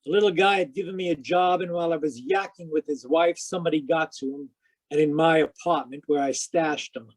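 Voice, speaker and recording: An actor playing a hard-boiled private detective in old-time radio style, wry, clipped and matter-of-fact. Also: male voice, a little high, a little fast, lightly acted, low-quality recording. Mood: tense narration. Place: home, live video call performance.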